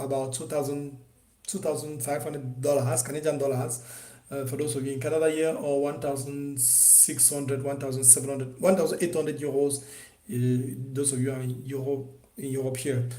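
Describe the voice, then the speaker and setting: A man from Cameroon teaching an online business course, talking in an animated way with many restarts and fillers. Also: fairly high pitch, reverberant. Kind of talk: unscripted course presentation.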